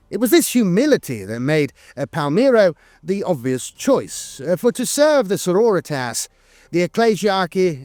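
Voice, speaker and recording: High-pitched man, solemn lore narrator. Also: darkish tone, no echo, studio quality.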